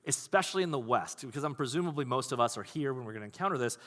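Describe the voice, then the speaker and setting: A man preaching a sermon as pastor, talking in a conversational, animated way. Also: mid-range voice, very fast. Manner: conversational, animated, acts out quoted voices. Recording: long hall reverb.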